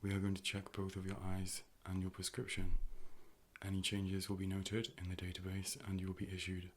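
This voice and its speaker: A deep, nearly unvarying voice belongs to a polite artificial optician acted out by a man in an ASMR eye exam role play. Each phrase is measured, formal and clinical, delivered with calm robotic deadpan. A faint noise sits behind the voice. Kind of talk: ASMR medical role play, personal attention.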